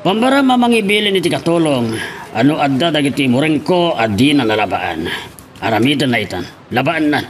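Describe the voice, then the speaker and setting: A man's voice: fairly high pitch, big pitch swings, brisk. A man playing a bossy employer in an Ilocano radio drama.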